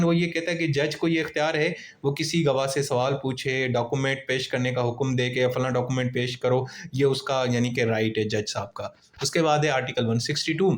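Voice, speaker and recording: A man with a Pakistani accent lecturing on law in an informal, conversational way, explaining through everyday examples. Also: a little high.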